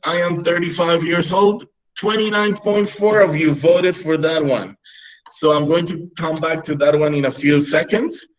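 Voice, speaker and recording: A man's voice: a little high, poor audio. A man in his thirties whose first language is Spanish, teaching a webinar for English teachers over a video call and often checking in with "right?". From Peru. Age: 30s. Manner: explanatory, frequent "right?" checks.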